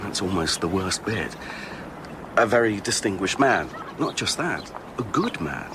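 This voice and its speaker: An actor playing an earnest, indignant, uneasy Victorian gentleman with an English accent in a radio drama, emphatic and sometimes hesitant. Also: deep voice, sing-song, measured pace, noisy background, full character voice. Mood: confiding.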